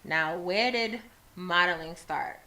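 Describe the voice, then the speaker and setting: A woman's voice: on the low side, slow, bright, forceful tone, distant mic, rough recording. A woman hosting a casual talk show, asking guests playful, teasing questions. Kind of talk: casual interview chat.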